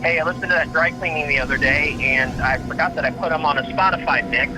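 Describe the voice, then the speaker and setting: A man plays a playful, affectionate pal in a fiction podcast. His voice runs a little high and he rambles in a goofy way, with clear music underneath. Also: quick pace, natural voice, rough recording.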